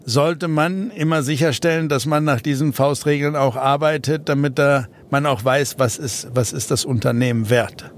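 A man's voice: medium pitch, fairly even intonation, normal speed, few pauses. A man from South Africa, an entrepreneur guest in an interview, talking casually with many fillers and restarts.

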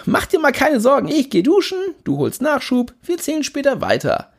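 Brash scheming party guy, loud and high, swoops all over, a man doing the voice in a read-aloud story. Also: huge pitch swings, moderate pace, gravelly, slightly rough, exaggerated voice. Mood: boisterous. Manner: boisterous, scheming, overexcited. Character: brash pushy party-mad young man.

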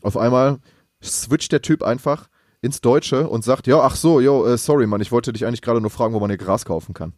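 A man's voice: medium pitch, brisk, darkish tone, no room sound, clean studio sound. A young man chatting casually on a podcast, telling rambling stories in slangy speech. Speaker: podcast co-host. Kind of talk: casual chat.

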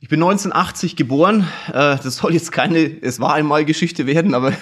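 A man's voice: a little high, fast, a bit bright. A man, hosting his own podcast and telling his life story in a casual, frank, self-deprecating way from his office. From Kulmbach, Upper Franconia, Germany. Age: 40s. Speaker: podcast host. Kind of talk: casual personal storytelling monologue.

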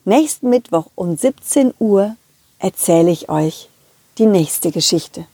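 Woman playing a friendly storytelling dog, unhurried, slightly husky. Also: medium pitch, lively intonation, warm tone, dry recording, heavily acted. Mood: cheerful, friendly. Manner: child-friendly, empathetic, talking directly to young listeners. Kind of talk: children's audio play narration.

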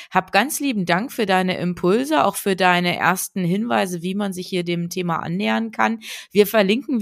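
A woman hosting a podcast interview, summing up and giving effusive thanks. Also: gravelly. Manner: warm effusive thanks, rises high in farewells. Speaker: podcast host.

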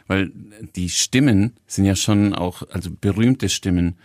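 A man with a fairly low voice co-hosts a relaxed conversation. He speaks in a colloquial way, turning directly to the guest. The recording is dry, without audible room. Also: unhurried, dry recording. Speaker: co-host. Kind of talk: casual chat.

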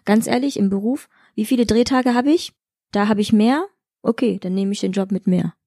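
A woman's voice: mid-range voice, moderate pace, mellow, no room sound, studio quality. A woman from eastern Germany, an actress, talking candidly and colloquially in a casual interview. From Chemnitz, Germany.

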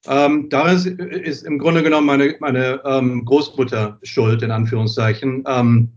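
A man raised in Germany and born in England speaks as an interview guest in a medium-pitched voice. He feels his way through answers, hesitating and repeating words. The sound is a little dull. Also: fairly even intonation. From born in England, grew up in Wiesbaden, Germany. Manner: hesitant, pausing and repeating words while thinking. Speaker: podcast guest.

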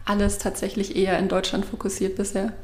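A woman in her thirties from Swabia, a startup co-founder explaining her work at length in a measured way during an interview. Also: medium pitch, monotone, reverberant. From Swabia, Germany. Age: 30s. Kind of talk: interview answers.

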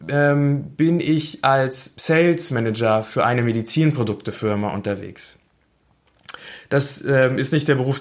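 Hesitant man from Berlin, casual talk. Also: medium pitch. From Berlin, Germany. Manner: hesitant, many fillers. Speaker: hobby trader talking about himself. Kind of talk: casual self-introduction.

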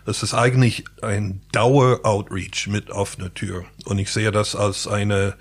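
Male interview guest, English native with accent in German, unhurried, reflective, slightly deep voice. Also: level tone, slightly rough. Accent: English-speaking accent in German. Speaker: interview guest, pastor and painter.

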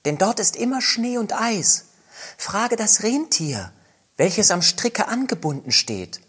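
A woman's voice: big pitch swings, slow pace, a bit bright, breathy edge, full character voice. A woman reading aloud and voicing a pair of wood pigeons with a rolling, cooing delivery. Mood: knowing. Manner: rolling "krrr" coos, high and airy. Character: cooing wood pigeons, small shrill birds.

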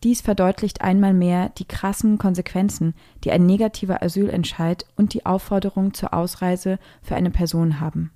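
Mellow, lowish woman reading news. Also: fairly even intonation, normal speed, hardly any pauses. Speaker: news presenter. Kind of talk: scripted news narration, read aloud.

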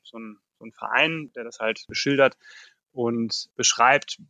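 A man from the Ruhr area, an engineer answering interview questions in a relaxed way with lots of fillers. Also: medium pitch, gravelly, no room sound. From Ruhr area, Germany. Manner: relaxed, many filler phrases. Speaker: test lab engineer, guest.

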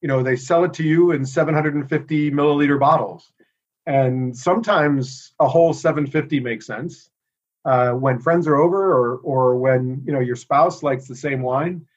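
American man, relaxed, slightly muffled audio. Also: on the high side. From United States. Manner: relaxed, thoughtful, many "uh" pauses. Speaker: inventor and guest. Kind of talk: casual interview chat.

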